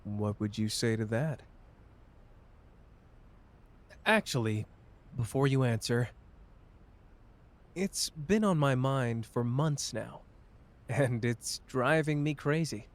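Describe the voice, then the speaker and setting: A man's voice: mid-range voice, animated, takes it slowly. A man playing a cocky, tender young male sorcerer in an intimate ASMR romance roleplay, with a playful, teasing, casual manner. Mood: nervous, earnest.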